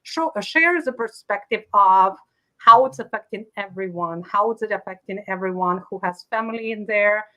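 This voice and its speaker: Bright-voiced woman, earnest guest. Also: medium pitch, moderate pace, poor audio. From Ukraine. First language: Russian. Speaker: guest, leadership coach. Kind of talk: conversational interview.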